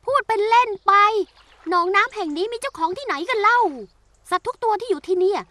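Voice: extremely high pitch, quick pace, pushed and bright, soft background music. A voice actor playing a small, chirpy fish who leads the others. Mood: indignant. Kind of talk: cartoon fable voice acting.

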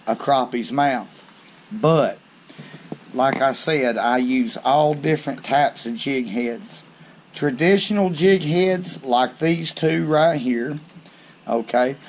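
A man's voice: medium pitch, darkish tone. A man, hosting a fishing channel and showing tackle at a table in a homey, folksy way, saying "okay" often. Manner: slow, folksy, down-home talk with frequent "okay". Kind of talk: hands-on tackle demonstration. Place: covered outdoor porch or garage on a rainy day.

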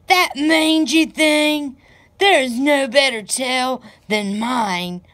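A woman's voice: high voice, takes it slowly, fairly bright tone, voice pushed up, heavily acted. A woman voicing a proud, indignant male farm dog in a children's storybook, haughty and emphatic.

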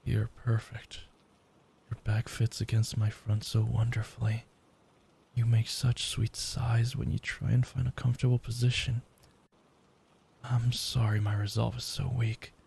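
A man voicing a desperate, lovesick, self-loathing young yandere in an intimate ASMR roleplay, halting and stammering. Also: mid-range voice, level tone, a little mellow, a little hiss or hum. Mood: soft, devoted. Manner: halting, stammering, pleading, breathy sighs.

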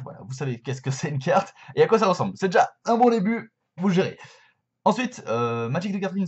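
A young man in his twenties talks casually to his webcam, stopping often for fillers and restarts. His voice is a little husky and sits at an ordinary pitch, and the lo-fi webcam audio sounds dull and muffled. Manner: chatty, lots of hesitations and fillers. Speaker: YouTuber explaining a card game. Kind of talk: casual explainer vlog to camera. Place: bedroom with shelves, indoors.

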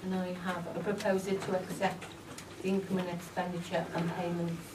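A woman's voice: lowish voice, flat delivery. Woman chairing a meeting, echoey hall. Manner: brisk, procedural. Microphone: far room mic. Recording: echoey hall, far mic, clear hiss.

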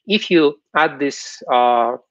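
A young man, a software developer, giving an informal technical presentation online in a halting, explanatory way. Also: medium pitch, lively intonation, dry recording. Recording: dull, lo-fi online stream audio.

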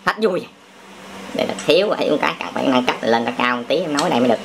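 A man's voice: medium pitch, rapid-fire, vocal fry. A man with a southern Vietnamese accent chats casually and playfully while busy with toys, teasing and often repeating short phrases. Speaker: father playing along on a family toy channel. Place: indoor room at home, by a table of toy bricks. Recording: noisy home camera audio, clicking plastic bricks.

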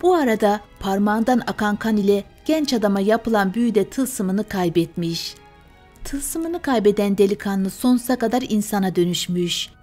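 A woman's voice: mid-range voice, animated, moderate pace, darkish tone. Woman narrating a bedtime fairy tale over music. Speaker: storyteller. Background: music and fire sounds.

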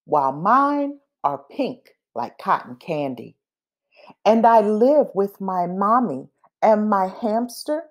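A woman reading a children's picture book aloud expressively, pausing between phrases and acting out the dialogue. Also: mid-range voice. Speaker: storybook reader.

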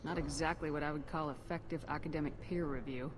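A woman's voice: sits low, fairly even intonation, fast, noisy background, lightly acted. A woman playing a cool, authoritative human military commander in a video game, direct and dry. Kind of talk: video game character dialogue.

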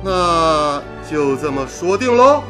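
A man's voice: a little high, sing-song, takes it slowly. An actor playing a cocky, jovial radio engineer with a teasing manner, wooing his sweetheart in a radio drama. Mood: tender, teasing. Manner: teasing, bantering. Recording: radio drama with music behind.

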